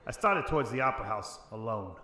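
A man's voice: on the low side, animated, fast, bright, forceful tone. A man playing a tough-talking, wry 1940s private detective in a noir radio drama, with clipped slangy wisecracks. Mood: brooding narration. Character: gruff hard-boiled 1940s private detective, wry and threatening. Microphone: close desk microphone with headset.

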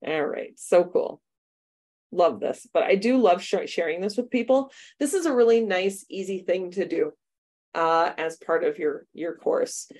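Upbeat middle-aged American woman, slightly low voice, training teachers over a webcam call, chatty with easy laughs. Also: animated, a little slow, a little mellow. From United States. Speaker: computer science teacher trainer. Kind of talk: webinar workshop demo. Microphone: webcam video call.

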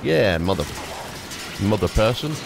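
A man's voice: lowish voice, big pitch swings, a little slow, gravelly. A man giving casual, playful gameplay commentary, throwing in exclamations and mild substitutes for swearing. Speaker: gaming YouTuber. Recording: music playing behind the voice. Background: game music.

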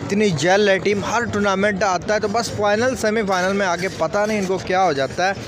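Pakistani guy in his 20s, highish voice, hypes everything, noisy street behind him. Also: steady tone, brisk, crowd noise. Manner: emphatic, full of superlatives. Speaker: street interviewee, cricket fan. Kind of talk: casual opinion in a vox pop. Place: outdoors at night by parked cars and traffic. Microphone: interviewer's handheld microphone. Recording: busy outdoor street noise.

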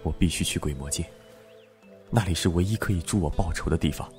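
A man acting a calm, teasing and devoted supernatural fighter in a Chinese costume audio drama. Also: low-pitched, fairly quick, faint music behind, lightly acted. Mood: solemn, gentle.

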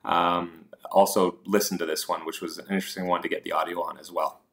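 A man's voice: low voice, little pitch movement, a little fast, fairly bright tone. A man presenting investing slides as a host, explaining points informally with hesitant asides.

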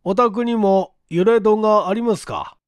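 A man's voice: sits high, measured pace, clean tone, no echo, lo-fi sound, pitched above the performer's own voice. A man voicing a languid police chief in an audiobook mystery, questioning witnesses in a weary, probing way.

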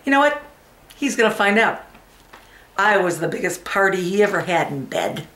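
Brash, wounded middle-aged wife, played by an actress, volatile and melodramatic stage monologue, roomy echo. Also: female voice, mid-range voice, very animated, moderate pace, fairly bright tone. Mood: defiant, brash. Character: brash, wounded middle-aged wife, betrayed after twenty-five years. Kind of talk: comic dramatic audition monologue. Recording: echoey room.

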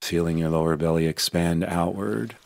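Very low-voiced man guiding meditation, creaky. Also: fairly even intonation, dry recording. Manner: gentle, unhurried, soothing instruction. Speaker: meditation guide. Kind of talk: guided meditation narration.

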